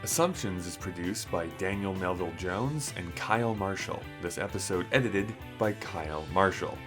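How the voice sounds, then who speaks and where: A man's voice: low voice, animated, moderate pace, music in the background. A man co-hosting a podcast in casual conversation, with a joking, teasing way of talking. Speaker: podcast co-host.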